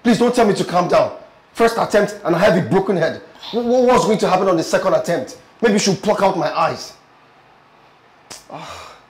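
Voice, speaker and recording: A man's voice: high-pitched, animated, moderate pace, reverberant, a little hiss or hum. An actor playing an indignant, injured man in a drama, emphatic and repeating his complaints. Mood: exasperated. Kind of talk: film drama dialogue with comic exasperation.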